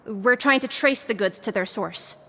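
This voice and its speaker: A woman's voice: fairly high pitch, normal speed, bright, forceful tone. A woman voicing a dutiful female guard giving a formal report to her superior in a video game. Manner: formal and clipped. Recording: game audio with reverb and music.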